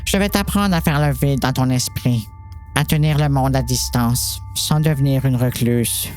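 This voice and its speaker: Woman playing patient doctor lady, kinda low voice, explains things calmly, soft music under it. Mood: patient, encouraging. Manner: reassuring, measured, explanatory. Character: calm, patient doctor coaching a troubled girl. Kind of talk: amateur audio drama reading.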